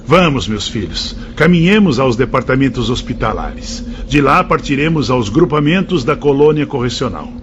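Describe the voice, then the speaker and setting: A man's voice: medium pitch, big pitch swings, normal speed, few pauses, close to an everyday voice. A man with a Brazilian accent playing a formal, fatherly spirit mentor in a radio drama. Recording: dull audio with clear noise.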